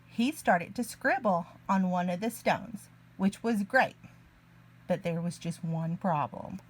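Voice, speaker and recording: Slow-paced woman reading a children's story. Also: on the low side. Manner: expressive, deliberate, child-directed delivery. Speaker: children's story reader, early learning teacher. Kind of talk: read-aloud picture book narration.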